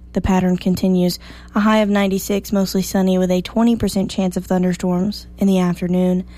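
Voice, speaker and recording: A woman hosting a morning radio show, slightly hoarse, chatty and upbeat as she reads the weather. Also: mid-range voice, mellow. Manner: chatty, upbeat, self-joking. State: a little hoarse.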